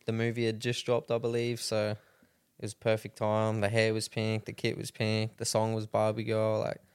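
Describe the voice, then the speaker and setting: A man's voice: on the low side, completely flat delivery, moderate pace, clean tone, no room sound. A man speaking as a podcast guest in casual chat, laid-back and easygoing. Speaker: guest, MMA fighter.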